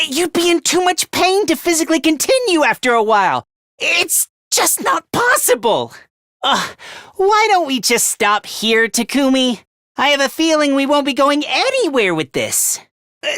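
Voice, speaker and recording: A man's voice: extremely high pitch, fairly bright tone, no room sound, clean studio sound, exaggerated voice. A man voicing a nervous, self-loathing teenage schoolboy in a video game, with a stammering, hesitant and defensive delivery. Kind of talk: English video game voice acting.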